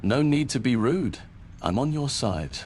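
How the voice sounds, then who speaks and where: A man's voice: mid-range voice, lively intonation, a little slow, a little mellow, slight background noise, close to an everyday voice. A man voicing an earnest, principled adventurer in a fantasy game, speaking firmly and sincerely.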